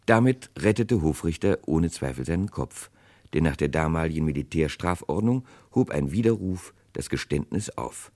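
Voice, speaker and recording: An actor narrating a 1960s radio crime play as a grave chronicler, with dramatic, deliberate delivery. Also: male voice, extremely low pitch, expressive, a little mellow, heavily acted. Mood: grave, suspenseful. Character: grave male chronicler of a historic murder case.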